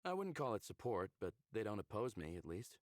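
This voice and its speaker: A man's voice: on the low side, big pitch swings, a little fast, no room sound, clean studio sound. An actor playing an easygoing, friendly male space pilot in a visual novel game, talking in casual, laid-back phrases. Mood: casual, candid.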